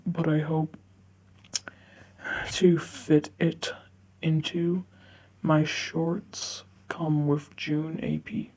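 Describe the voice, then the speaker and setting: A woman reading online forum stories aloud in a halting way, often stumbling over the words. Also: deep voice, dark tone. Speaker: narrator reading online stories for a video channel.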